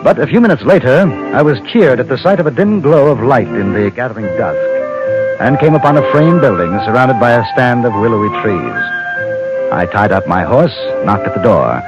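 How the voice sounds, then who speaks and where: Courteous English gentleman adventurer, lively delivery. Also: medium pitch. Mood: composed, wry. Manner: measured, formal, literate storytelling. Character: courteous English newspaperman and gunman roaming the West. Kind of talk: 1950s radio drama acting and first-person narration. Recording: muffled old radio recording, music behind.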